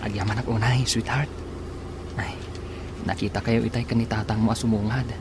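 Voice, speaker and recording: A man's voice: fairly low pitch, level tone, moderate pace, lightly acted. A man playing an anxious, jealous young sweetheart in a radio drama, with a worried, evasive manner. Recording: clearly noisy, engine-like ambience behind.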